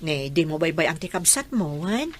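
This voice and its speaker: A woman playing a gentle, resigned rural mother in a radio drama, earnest and reassuring, with an Ilocano accent. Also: low-pitched, big pitch swings, normal speed. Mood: earnest, parting. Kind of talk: Filipino radio drama acting.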